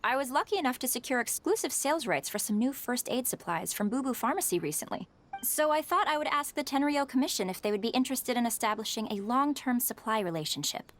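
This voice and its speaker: A woman's voice: a little high, lightly acted. A woman voicing a courteous, businesslike merchant in a video game, polite and well-spoken.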